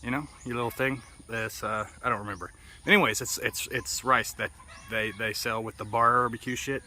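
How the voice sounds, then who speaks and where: American man, bright forceful voice, casual vlog rambling, profane, offhand, outdoor recording, faint background noise with roosters and birds. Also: medium pitch, fairly even intonation, normal speed. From United States. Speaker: vlogger. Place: outdoors in a yard in the Philippines. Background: birds, roosters and outdoor ambience.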